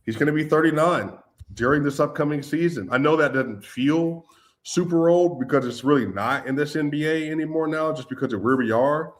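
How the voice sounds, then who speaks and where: A man's voice: fairly high pitch, fairly quick. A man co-hosting a casual sports chat, talking loosely with lots of "like" and calling his listeners "y'all". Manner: loose and conversational, many "like"s, addresses listeners as y'all. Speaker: podcast co-host.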